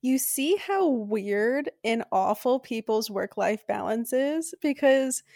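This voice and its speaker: Bright, playful young woman. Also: fairly high pitch, expressive, gravelly, dry recording, studio quality. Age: 20s. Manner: playful, laughs easily, quick asides. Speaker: podcast co-host. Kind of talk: casual chat.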